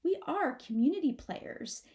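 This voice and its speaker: A woman's voice: sits high, animated, unhurried, dark tone, pitched above the performer's own voice. A woman voicing an anxious young male possum in a storybook, pleading like a child. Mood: worried, pleading.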